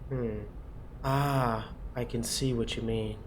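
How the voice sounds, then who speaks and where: A man plays an uneasy, questioning patient in a horror visual novel. The pitch is middling for a man, and the delivery is hesitant. Light background noise sits behind the voice. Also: steady tone, slow pace, lightly acted. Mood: worried, surprised. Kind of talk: visual novel read aloud in character.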